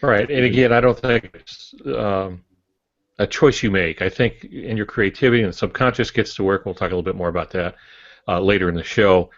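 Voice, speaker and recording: Older man, low voice, muffled recording. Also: fairly quick. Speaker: podcast co-host. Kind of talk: casual podcast discussion.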